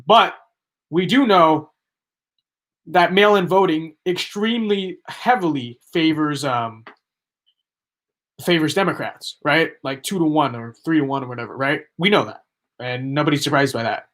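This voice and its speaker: A young man commenting on a live stream, talking in a rambling, emphatic way that repeats itself, his voice starting to go hoarse. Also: fairly high pitch, measured pace, a bit bright. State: losing his voice. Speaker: livestream political commentator.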